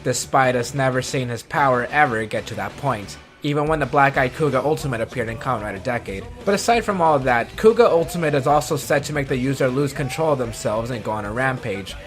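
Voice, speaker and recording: Male video host, mid-pitched, scripted list commentary with dry self-mocking asides, music underneath. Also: lively intonation, a little fast, few pauses. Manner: wry, self-deprecating asides.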